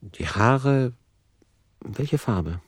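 An actor playing a detached narrator who sets out notes for a novel in a clinical, deliberate way, in radio drama style. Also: male voice, medium pitch, lively intonation, slightly rough, dry recording, studio quality, heavily acted. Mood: analytical. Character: analytical male narrator dictating notes on a novel.

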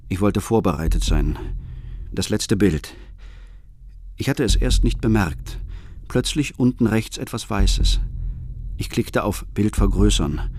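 An actor narrating a radio play as a troubled father, speaking in a calm, reflective, confessional way. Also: lowish voice. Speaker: first-person narrator.

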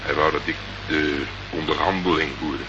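An actor playing a terse, dogged private detective in a Dutch radio play, asking clipped, probing questions. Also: male voice, rumbling low, fairly even intonation, normal speed, music in the background. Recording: noisy, muffled old radio recording.

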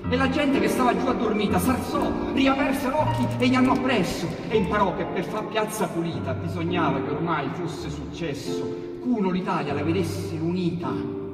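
A man with a Roman accent theatrically reciting dialect sonnets, swinging between hushed and loud passages. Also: high-pitched, moderate pace. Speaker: poetry reciter. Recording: echoey hall, distant mic, piano.

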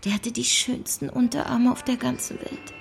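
A woman's voice: fairly high pitch, fairly even intonation, normal speed, creaky. An actress playing a flirty, romantic woman bank robber who loves literature, in a German radio drama with music behind her. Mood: dreamy, swooning.

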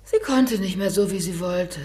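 A woman's voice: medium pitch, moderate pace, warm tone, close to an everyday voice. An actress playing a fussy older mother who chatters about chores and talks past her grown daughter in a radio play. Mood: chattering.

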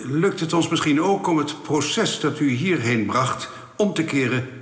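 An actor playing a courteous, matter-of-fact alien official in a Dutch science fiction radio play, speaking formally and in measured phrases. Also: male voice, fairly high pitch, lively intonation. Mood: businesslike. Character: courteous, matter-of-fact alien official man explaining his planet's laws. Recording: echoey reverberant hall effect.

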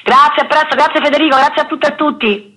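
A woman's voice: sits high, steady tone, quick pace, bright, forceful tone. A woman talking as a radio interview guest, articulate and expansive, stressing points by repetition. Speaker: interview guest, actress and author.

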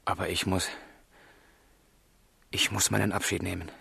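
An actor playing a passionate, lovesick young gentleman in a German radio drama, with a fervent, confiding delivery and a husky voice. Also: deep voice, level tone, moderate pace, no echo, full character voice. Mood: brooding, fervent.

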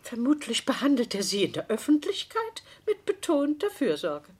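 A woman's voice: high-pitched, wildly swooping pitch, moderate pace, slightly rough, exaggerated voice. A woman playing a soothing, knowing niece who assists her scheming aunt in a radio drama, theatrical and cooing. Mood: knowing, conspiratorial. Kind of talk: German radio drama acting.

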